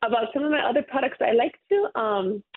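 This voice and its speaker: A woman's voice: a little high, animated, brisk, a little hiss or hum. A woman in her thirties from Hawaii, a guest who talks in a friendly, rambling way with a light laugh. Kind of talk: casual interview. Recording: tinny phone line.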